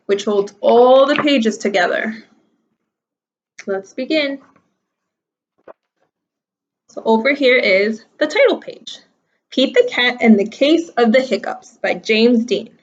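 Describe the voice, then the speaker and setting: A woman teacher reading a picture book aloud to young children in a deliberate, sing-song storytelling manner. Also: fairly high pitch, lively intonation. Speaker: primary school teacher. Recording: slightly dull, lo-fi sound.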